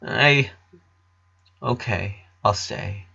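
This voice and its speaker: A man's voice: lowish voice, extremely slow, gravelly, sharp tone, breathy edge, lo-fi sound, full character voice. A man playing a polite, resigned eleven-year-old boy in a story, hesitant and subdued. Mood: awkward, subdued.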